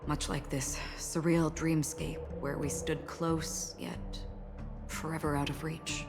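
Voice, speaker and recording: Low, enigmatic swordswoman in long reverb. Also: little pitch movement. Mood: wistful, formal. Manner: measured, formal, cryptic. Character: calm, enigmatic woman swordfighter, wistful galaxy ranger. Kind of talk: video game story acting. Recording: long reverb, clear music behind. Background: music.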